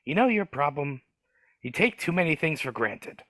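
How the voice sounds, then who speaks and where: A man voicing a grumpy, gruff male dwarf gardener, speaking in a cagey, grumbling way over a video call. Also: on the high side, sing-song, moderate pace, pushed and bright, no room sound, studio quality, heavily acted. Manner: grumbling, cagey, rough working-class speech. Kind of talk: live dramatic reading over video call.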